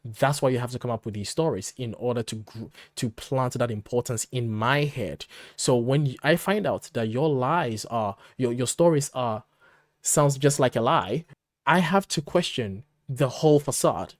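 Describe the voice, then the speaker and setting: A man with a mid-pitched voice, recorded close and dry. As a podcast co-host he gives blunt, conversational commentary, arguing emphatically and posing rhetorical questions. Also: normal speed, no echo.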